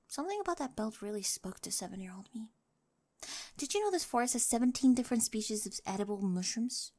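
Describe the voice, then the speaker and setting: A woman's voice: a little high, darkish tone. A woman playing an awkward, eager, nerdy teenage girl with a crush in an ASMR roleplay, chatty and rambling, often dropping toward a whisper. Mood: nerdy excitement.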